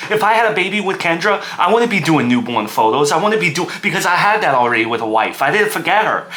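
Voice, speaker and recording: Man acting agitated, bright-voiced aggrieved dad. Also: mid-range voice, a little hiss or hum, lightly acted. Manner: agitated, repetitive, stammering over his words. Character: aggrieved, defensive divorced young father, bitter and self-justifying.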